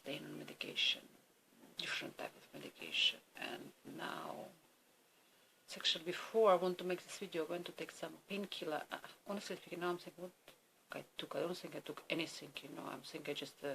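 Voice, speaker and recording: A Ukrainian woman whose first language is Russian talks in a subdued, weary video diary, halting and searching for her words. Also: fairly low pitch, steady tone, vocal fry, pushed and bright, slight background noise, rough recording. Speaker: vlogger.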